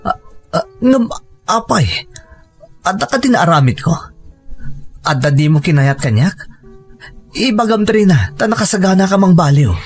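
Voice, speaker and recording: An earnest, devoted suitor in an Ilocano radio melodrama, acted by a man. His highish voice rises and falls widely with wounded feeling, over faint music. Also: sing-song, a little slow, pauses often, husky, full character voice. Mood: hurt, pleading.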